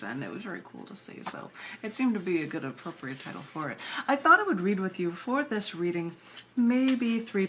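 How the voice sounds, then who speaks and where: A woman with a fairly low voice introduces her poetry in an informal, chatty way. She wanders into asides with a playful streak. The amateur camera recording carries a faint layer of noise. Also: fairly bright tone. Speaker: poet and editor reading own work.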